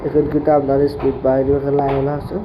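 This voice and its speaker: A man's voice: medium pitch, monotone, very fast, over loud music. A man giving a casual tutorial as a tech video creator. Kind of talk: casual spoken screen-recording tutorial. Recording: dull lo-fi mic with clear background noise.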